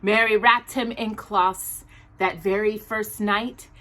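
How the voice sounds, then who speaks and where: A woman hosting a children's storytime, reading aloud expressively in a deliberate storybook manner with casual asides. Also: mid-range voice. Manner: animated, deliberate storybook delivery.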